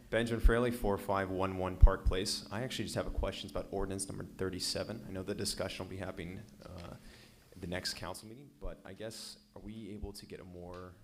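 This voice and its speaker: A man speaking as a resident at a council meeting, loud and questioning, a little self-deprecating. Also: deep voice, steady tone, quick pace. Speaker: resident at public comment. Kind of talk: public comment question. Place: council chamber.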